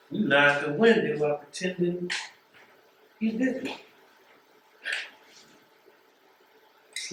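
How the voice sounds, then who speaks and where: A man acting a blunt postal carrier in a stage play, in a fairly high male voice. He lectures emphatically and with disappointment. The voice rings in a very echoey space, some way from the mic, with faint noise. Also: a bit bright, far from the mic. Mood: accusing. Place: theatre stage.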